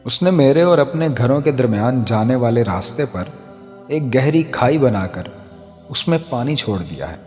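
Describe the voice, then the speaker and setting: A man narrating a scripted moral story as a storyteller, in a measured, formal literary manner. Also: mid-range voice, expressive, darkish tone. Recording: long hall reverb, music underneath. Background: background music.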